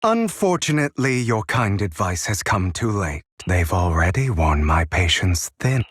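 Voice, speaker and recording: A man's voice: low voice, sing-song, unhurried, breathy edge, no echo, clean studio sound, heavily acted. Suave, theatrical, sardonic swordsman. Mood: cold menace. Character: suave, theatrical, vengeful young swordsman, mocking and proud. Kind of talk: dramatic video game voice acting.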